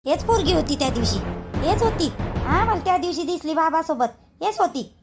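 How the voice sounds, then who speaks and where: A woman playing a sharp-tongued relative who taunts and accuses. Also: extremely high pitch, expressive, moderate pace, music in the background. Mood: accusing. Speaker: actor in a family drama skit.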